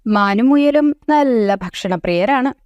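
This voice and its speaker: Woman narrating a kids story, high crisp voice, no room sound at all. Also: lively intonation, takes it slowly, darkish tone, dry recording, dull audio. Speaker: storyteller for children. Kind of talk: scripted children's story narration.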